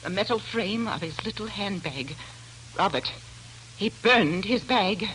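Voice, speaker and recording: A woman's voice: medium pitch, lively intonation, a little slow, a bit bright, a little hiss or hum, rough recording. An actress playing an anxious, fretful landlady with breathless, hesitant delivery in 1940s radio melodrama style. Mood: worried, confiding. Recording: old radio broadcast, faint music.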